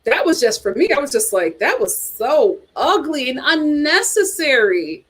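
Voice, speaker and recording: A woman's voice: high-pitched, very animated, measured pace, fairly bright tone. A woman hosting a casual reality TV chat, reading viewer comments aloud with dramatic exclamations.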